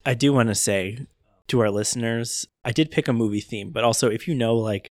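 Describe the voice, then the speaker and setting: A man's voice: medium pitch, brisk, a little mellow, no echo, studio quality. A man hosting a podcast in a casual, conversational style. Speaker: podcast host. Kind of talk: casual chat.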